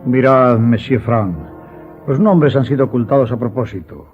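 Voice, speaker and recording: An evasive gentleman of radio drama, acted by a man, formal and explanatory. The voice is medium in pitch. It comes through muffled and narrow, over soft music. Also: normal speed, lightly acted. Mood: anxious, evasive. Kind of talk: Spanish-language radio drama acting. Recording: muffled narrow-band audio, faint music.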